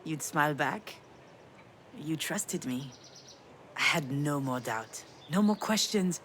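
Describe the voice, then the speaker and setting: An actress playing a weary, grieving mother in a video game, soft and wry, with a self-deprecating manner. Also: deep voice, expressive, takes it slowly, a little hiss or hum, exaggerated voice. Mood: tender, confessional. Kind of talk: naturalistic video game drama acting.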